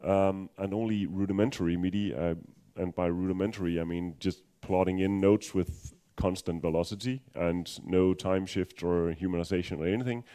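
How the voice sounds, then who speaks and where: A man's voice: low voice, steady tone, moderate pace, hardly any pauses, warm tone. A man from Denmark with a Danish accent giving a technical conference talk, hesitant with many ums and restarts and a dry humor. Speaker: conference speaker.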